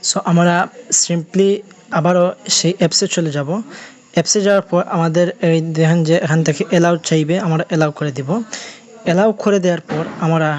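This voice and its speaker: High-pitched man giving a tutorial. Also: fairly even intonation, a little fast. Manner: repetitive, instructional phrasing. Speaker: tech tutorial host. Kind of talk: step-by-step how-to video narration.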